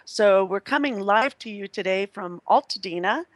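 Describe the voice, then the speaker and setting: A woman's voice: mid-range voice, expressive, moderate pace, no echo. A woman hosting a radio show in casual, unscripted talk, chatty and effusive as she meanders from thought to thought. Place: live internet radio broadcast.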